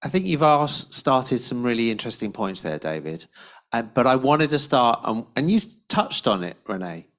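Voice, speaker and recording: A man's voice: medium pitch, sing-song, fairly bright tone. British man moderating a panel. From Britain. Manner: halting, restarts sentences, friendly. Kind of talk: casual online panel chat.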